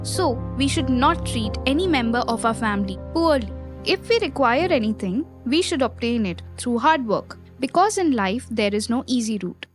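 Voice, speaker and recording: A woman's voice: on the high side, lively intonation, normal speed, faint music behind. A woman narrating a scripted moral story for listeners.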